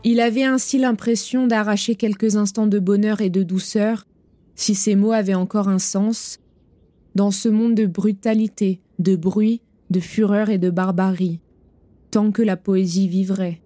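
A woman narrating an audio fiction in a sober, measured way. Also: mid-range voice, fairly even intonation, dark tone, no echo.

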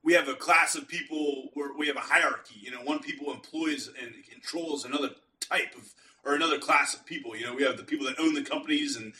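A man's voice: fairly high pitch, quick pace, bright, forceful tone, a little hiss or hum. A man from the Pittsburgh area hosting a podcast, chatting casually and agreeably with frequent ums and restarts. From Pittsburgh area, United States. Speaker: podcast host. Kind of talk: casual interview chat. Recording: roomy, distant mic.

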